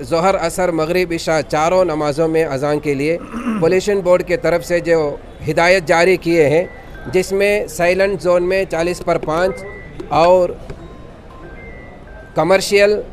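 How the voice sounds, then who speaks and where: A man's voice: on the high side, a little hiss or hum. A man, an imam making a formal, devout spoken statement to a news camera. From Karnataka, India. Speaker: imam and khatib.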